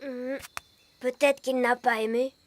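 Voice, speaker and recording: A woman voicing an earnest, playful little elf boy in a French cartoon, childlike, deliberate and expressive. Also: sits high. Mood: worried.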